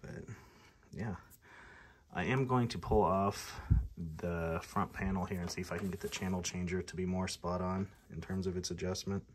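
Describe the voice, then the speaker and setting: A man's voice: sits low, steady tone, normal speed, slight background noise. A man from the United States giving a casual, plainspoken, matter-of-fact demonstration as a radio repair hobbyist. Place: indoor workshop at a workbench.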